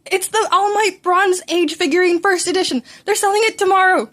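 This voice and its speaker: Woman voicing an excitable, high-voiced nerdy boy. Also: brisk. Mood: eager, pleading. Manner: excitable, playfully sarcastic. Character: eager, nerdy, cheeky middle-school boy. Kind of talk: fan fiction audiobook character voice.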